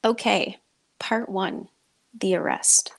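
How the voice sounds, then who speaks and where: A woman's voice: medium pitch, slow pace, vocal fry, in a whisper. A woman podcast host, talking and reading a story aloud in a dramatic way.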